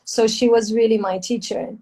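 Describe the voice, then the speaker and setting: A woman born in Germany, a singer, talking relaxed in an interview with restarts and small grammar slips in English. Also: on the high side, moderate pace. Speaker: guest singer. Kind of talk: casual interview conversation. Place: on a video call from abroad.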